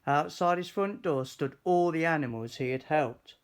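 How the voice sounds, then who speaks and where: A man reading a children's story aloud in an expressive, deliberate, animated way. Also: a little high, smooth. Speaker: storybook reader.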